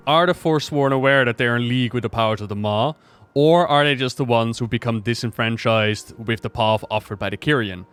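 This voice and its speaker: Excited man with a smooth, clean voice. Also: medium pitch, fairly quick, soft background music. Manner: excited, speculative, conversational. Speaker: gaming lore video creator. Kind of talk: casual reaction commentary.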